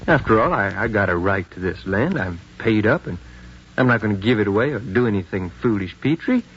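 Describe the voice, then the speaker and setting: A man playing a poor but quietly stubborn landowner in a 1950s American radio drama, hesitant and courteous, repeating his words, with an American accent. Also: lowish voice, animated, fairly quick, breathy edge, full character voice. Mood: uneasy, evasive. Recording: muffled, noisy 1950s radio recording.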